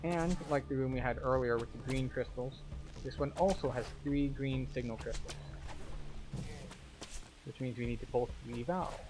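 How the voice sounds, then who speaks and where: A man's voice: mid-range voice, normal speed, poor audio. A man giving methodical, instructional commentary as the tour guide of a video game walkthrough. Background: game music.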